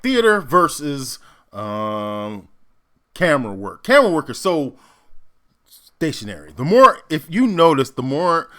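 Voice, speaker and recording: A man with a bright, forceful voice gives casual commentary as an actor. His pitch jumps around as he makes emphatic points, halting to think aloud. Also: big pitch swings. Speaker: actor hosting reaction commentary. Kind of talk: casual reaction commentary, explaining stagecraft.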